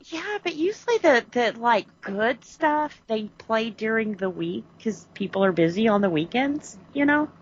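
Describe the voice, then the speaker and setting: A woman's voice: fairly high pitch, animated, normal speed, few pauses, lo-fi sound, slight background noise. A woman co-hosting a podcast in casual chat, rambling and correcting herself as she goes, laughing often. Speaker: podcast co-host.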